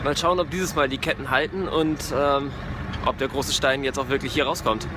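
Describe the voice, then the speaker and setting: A man's voice: mid-range voice, steady tone, moderate pace, background noise. A man commentating informally as a reporter at an outdoor worksite. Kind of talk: live-style location commentary. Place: open field worksite.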